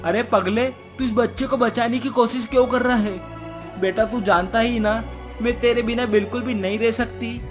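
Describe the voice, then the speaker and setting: A woman's voice: on the high side, fairly even intonation, moderate pace, pitched above the performer's own voice, close to an everyday voice. A menacing witch mother from a cartoon tale, acted out by a woman. She gloats, scolds and threatens, yet dotes on her child. Music plays behind the voice. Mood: anxious, tender. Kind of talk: cartoon story character voice.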